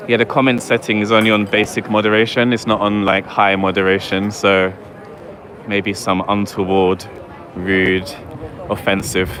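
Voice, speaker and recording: A man walking city streets at night, chatting wryly to his livestream viewers. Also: lowish voice. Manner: chatty, wry, talks to live chat. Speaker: livestream vlogger. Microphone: handheld phone or camera mic while walking. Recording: loud street noise behind. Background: traffic and street ambience.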